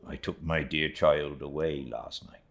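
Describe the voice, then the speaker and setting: Guy doing a humble old east anglian seaman, rustic dialect, earnest, slow, low voice. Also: gravelly, a bit bright, exaggerated voice. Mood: moved, earnest. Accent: rustic East Anglian English dialect. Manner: earnest, plain-spoken, deferential. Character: humble, devout, grizzled old English seafaring uncle. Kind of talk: audiobook character reading.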